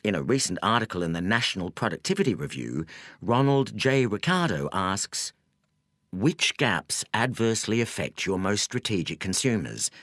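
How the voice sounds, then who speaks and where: A man narrating a nonfiction audiobook from a script in a measured, instructive way. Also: lowish voice, sing-song, fairly bright tone, dry recording, studio quality.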